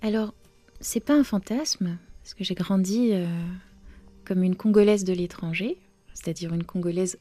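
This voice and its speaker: A novelist, a woman whose first language is Romanian, answering interview questions thoughtfully with many pauses and fillers. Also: fairly low pitch, dark tone, husky. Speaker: novelist guest.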